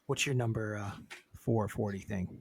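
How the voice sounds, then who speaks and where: A man's voice: on the low side, normal speed, vocal fry, breathy edge, no room sound. A man in his forties co-hosting a podcast in casual chat, joking and swearing freely. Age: 40s. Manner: joking, swears freely, lively shifts of level. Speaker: podcast co-host.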